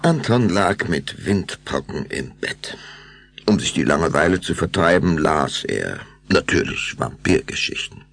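A male narrator tells a children's story dramatically. His voice is deep and rough-edged, with a husky grain. The intonation swings widely. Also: sing-song, a little slow, fairly bright tone. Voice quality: gravelly, husky.

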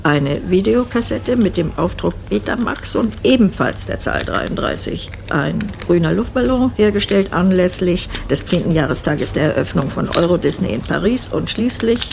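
Casting: ancient female war veteran, creaky voice, dry and unflappable, science fiction radio drama. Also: fairly low pitch, lively intonation, moderate pace, hardly any pauses, background noise. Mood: matter-of-fact, curious. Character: dry, unflappable 181-year-old one-armed war veteran woman. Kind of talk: German radio play acting.

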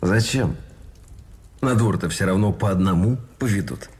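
An actor playing a wry, bitter lieutenant facing execution in a Russian radio drama, sardonic and indignant. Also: male voice, fairly low pitch, moderate pace, a little mellow, lightly acted. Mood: sardonic, dry. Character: wry, bitter young lieutenant, a condemned prisoner with a conscience. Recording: studio radio play with faint music behind.

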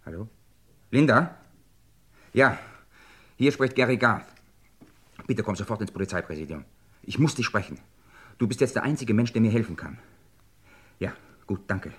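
A man's voice: lowish voice, expressive, moderate pace, long pauses, a bit bright, breathy edge. An actor playing an accused man in a radio crime drama, urgent, sardonic and exasperated. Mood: urgent, pleading.

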